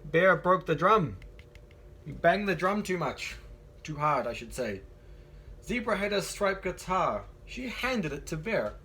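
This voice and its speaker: A man's voice: high voice, sing-song, measured pace, bright, forceful tone, slightly rough. A man teacher reading a picture book aloud to young children, encouraging them and asking them questions as he goes.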